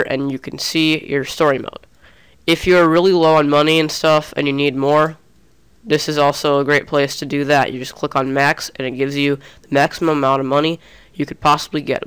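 A man's voice: fairly high pitch, fairly bright tone, smooth. A young man talking through a gaming tutorial in a casual, chatty way, a little under the weather. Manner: casual, chatty, restarts often. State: sick, slightly off voice. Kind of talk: screen-recorded tutorial.

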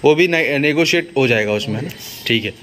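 A man's voice: medium pitch, lively intonation, a little fast, crowd noise. A man hosting a casual vlog interview, asking questions and repeating answers back to confirm. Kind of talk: casual on-camera interview about livestock. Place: open-sided livestock shed. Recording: noisy open shed with farm ambience.

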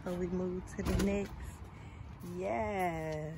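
A woman speaking in a relaxed, chatty way, filming with her phone in a suburban driveway. She talks slowly in a lowish voice. Steady outdoor noise sits behind her. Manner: relaxed, chatty, hypes the service. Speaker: vlogger filming a mobile car wash. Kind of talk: casual vlog narration to viewers. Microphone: phone camera held while filming.

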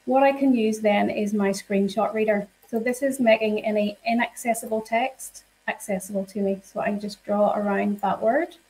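A woman giving a live software demonstration to teachers. She explains each step in a friendly way and uses frequent fillers. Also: medium pitch, steady tone, moderate pace, few pauses, poor audio. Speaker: software trainer.

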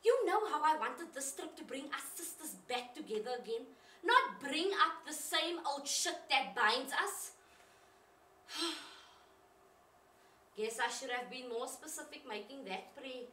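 A woman acts out a hot-tempered, rueful sister in a confessional stage monologue. Her voice is bright and swoops widely as she questions herself in everyday, emphatic words. It is heard from a distance in a roomy space. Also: high voice, expressive, a little slow, exaggerated voice. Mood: tender, rueful. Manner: colloquial, emphatic, self-questioning. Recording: roomy, distant mic, faint noise.

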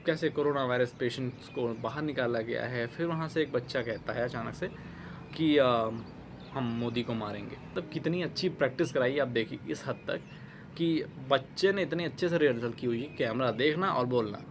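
Gravelly young man giving commentary. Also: medium pitch, normal speed. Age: young adult. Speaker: online commentary host. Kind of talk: opinion commentary to camera. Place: outdoors, overlooking a city. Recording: background noise with outdoor ambience and birds.

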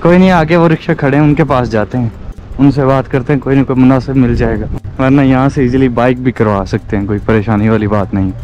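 A man's voice: mid-range voice, fairly even intonation, fairly quick, darkish tone, muffled recording, slight background noise. Chatty young Pakistani man vlogging outdoors. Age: 20s. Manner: informal, chatty, addresses viewers as brother. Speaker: travel vlogger. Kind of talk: casual vlog narration to camera. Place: outdoors on streets and in gardens. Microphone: handheld action camera at arm's length.

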